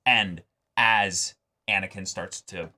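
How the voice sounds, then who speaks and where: Male co-host, lowish voice, casual commentary, earnest delivery with halting, repeated phrasing. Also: sharp tone. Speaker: reaction show co-host.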